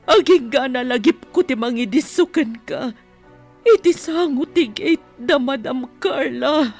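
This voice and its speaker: A woman playing an anguished mother pleading with her grown son in a tearful, drawn-out way, in Ilocano-accented radio drama style. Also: high voice. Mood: sorrowful confession. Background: faint background music.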